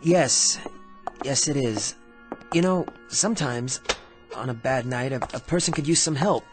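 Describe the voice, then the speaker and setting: An actor playing a gentle, halting, concerned man helping a troubled stranger in a radio drama. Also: medium pitch, lightly acted. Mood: kindly, hesitant. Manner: halting, soft-hearted. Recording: prominent music under radio drama.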